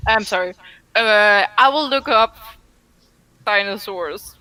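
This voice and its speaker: Bright young woman, chatty. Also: mid-range voice, takes it slowly, clear, resonant tone, poor audio. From Denmark. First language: Danish. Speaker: player. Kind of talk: casual chat between players.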